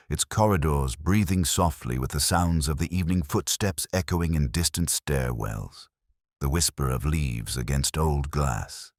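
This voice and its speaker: Very deep, brooding detective narrator, voiced by a man. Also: dry recording, studio quality, full character voice. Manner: measured, literary, solemn delivery. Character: brooding male detective inspector, melancholy and reflective. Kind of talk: scripted first-person mystery narration.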